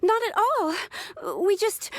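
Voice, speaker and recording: A woman's voice: extremely high pitch, very animated, slow, heavily acted. A woman voicing a young, eager, overworked junior secretary in a video game, flustered and deferential. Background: background music.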